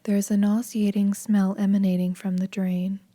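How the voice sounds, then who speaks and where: A woman narrating a horror video game fan dub in a hushed, breathy, grave and measured way. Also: mid-range voice, flat delivery, lightly acted.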